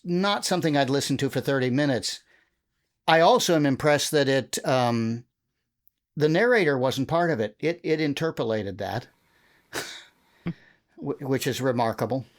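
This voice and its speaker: A man with a mid-range voice moderates a panel conversation. He talks casually and haltingly, pausing often as he puts his thoughts together. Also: mid-range voice, animated.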